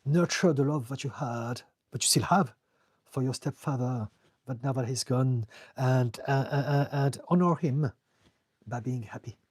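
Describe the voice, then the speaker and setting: A man's voice: medium pitch, a little slow, a little mellow, husky, dry recording, studio quality. A man with a French accent, a therapist answering viewers' questions on a live stream in an earnest, direct way, sometimes repeating words as he searches for them. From France. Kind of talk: live-stream Q&A, casual advice.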